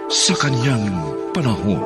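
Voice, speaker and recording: A man reciting a dramatic poetic introduction on radio. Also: sing-song. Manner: slow, dramatic, deep. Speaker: announcer. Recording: music bed behind.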